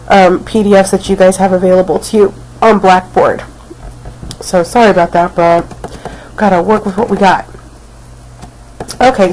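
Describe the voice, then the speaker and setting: A woman's voice: medium pitch, darkish tone, rough recording. A woman teacher recording a casual biology lecture, snuffly from a cold, with many ums as she talks. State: has a cold, snuffly and coughing. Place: at home, not at school.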